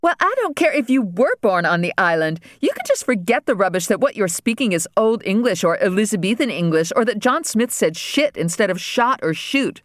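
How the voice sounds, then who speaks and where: A woman voicing a bratty governor's daughter who complains in a shrill, melodramatic voice. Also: sing-song, fairly quick, hardly any pauses, dry recording, studio quality, natural voice. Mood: scornful.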